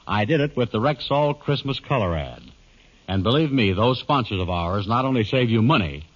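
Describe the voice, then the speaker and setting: A man's voice: medium pitch, fairly bright tone. A man giving a scripted sponsor message as the star of an old radio show. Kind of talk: scripted vintage radio address. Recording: muffled old radio recording.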